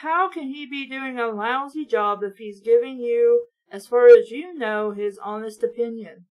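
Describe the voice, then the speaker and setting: A high-pitched female voice reading a book aloud and picking it apart. She is blunt and sarcastic, speaking as a mocking, sweary reviewer. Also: a little slow, muffled recording. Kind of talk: sarcastic read-along commentary.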